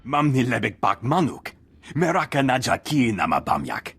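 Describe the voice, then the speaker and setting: A man voicing a gruff, rough-voiced alien enforcer who speaks an invented tongue in a video game. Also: mid-range voice, sing-song, moderate pace, fairly bright tone, heavily acted. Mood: defiant. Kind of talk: video game character dialogue.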